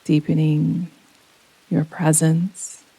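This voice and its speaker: Very slow, hushed-toned woman guiding meditation. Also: low-pitched, flat delivery, husky, no echo, rough recording. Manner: soothing, gentle, unhurried with long pauses. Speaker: meditation guide. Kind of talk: guided yoga nidra relaxation meditation. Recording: close dry voice over rain sounds. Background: soft rain sounds.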